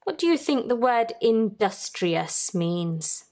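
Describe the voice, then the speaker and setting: Female storybook reader, higher-pitched voice, very unhurried and teacherly, light music in the mix. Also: very animated, a little mellow. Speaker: children's story-time host and reader. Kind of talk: read-aloud storybook narration with questions to young listeners.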